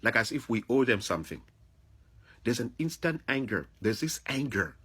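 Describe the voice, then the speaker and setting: A man's voice: medium pitch, sing-song, normal speed, a bit bright, slightly rough. A man from Africa, delivering an opinionated, confrontational monologue to another person, repeating phrases for emphasis. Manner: confrontational, admonishing. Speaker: vlogger.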